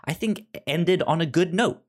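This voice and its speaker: Halting, stuttering podcast host in casual discussion. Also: medium pitch, lively intonation. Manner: halting, with frequent stuttered repeats of sounds and words.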